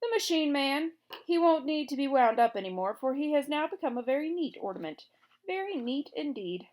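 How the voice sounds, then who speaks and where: A woman voicing a blustering, excitable male gnome king who shouts and panics in a storybook reading. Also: sits high, a little fast, voice pushed up. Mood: gloating.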